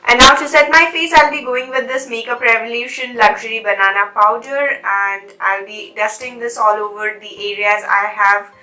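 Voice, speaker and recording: A woman's voice: on the high side, fairly even intonation, normal speed, hardly any pauses, sharp tone. A young woman with a cold and a nasal voice, chatting to viewers while doing a makeup tutorial and apologising for her absence. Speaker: beauty vlogger. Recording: roomy echo, faint music behind.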